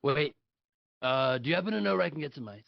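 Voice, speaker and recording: A man's voice: a little high, lively intonation, fast, gravelly, dry recording, muffled recording. A man at a live table read playing a self-important, blustering politician who is brusque, boastful and profane. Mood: smug, boastful.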